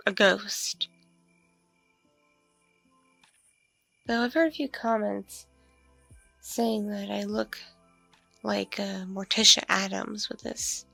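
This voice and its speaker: A woman playing a lonely, flirtatious vampire neighbor in an intimate ASMR roleplay, speaking in a breathy, creaky murmur with hesitant, drawn-out pauses. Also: medium pitch, fairly bright tone, no echo, exaggerated voice. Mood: sheepish, amused. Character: lonely, flirtatious, awkwardly polite pale vampire woman next door. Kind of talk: ASMR roleplay, intimate one-sided dialogue.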